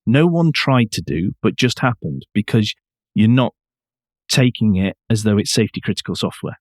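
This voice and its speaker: A man's voice: lowish voice, lively intonation, normal speed, no echo, clean studio sound. A man co-hosting a podcast, talking casually with firm, emphatic opinions. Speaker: podcast co-host. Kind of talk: casual discussion of car news.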